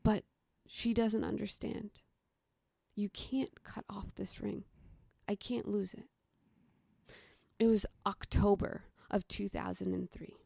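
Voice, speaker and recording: A woman's voice: medium pitch, takes it slowly, gravelly, long pauses, breathy edge, no room sound. Dry, close woman storyteller in her thirties. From United States. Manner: animated, shifts into present-tense story narration with quoted voices. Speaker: storyteller guest. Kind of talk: casual chat, then personal storytelling.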